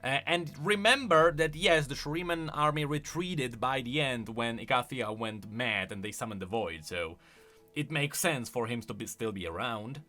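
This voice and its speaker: A man's voice: mid-range voice, moderate pace, sharp tone, soft background music. A man hosting a video, giving off-the-cuff, emphatic commentary that explains game lore.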